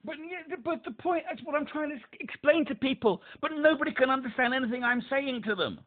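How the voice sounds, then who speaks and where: A man's voice: very high voice, a little fast. A man with a speech impediment, calling a helpline and pressing his point emphatically, repeating himself. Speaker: caller to a helpline. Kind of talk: phone call. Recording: tinny phone line.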